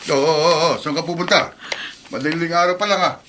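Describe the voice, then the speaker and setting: A man's voice: fairly high pitch, fairly even intonation, a little fast, sharp tone, a little hiss or hum. A man with a Filipino accent playing an irritable, sleepy husband in a radio drama, complaining and emphatic. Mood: grudging reassurance. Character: irritable, sleepy husband, matter-of-fact and nagging.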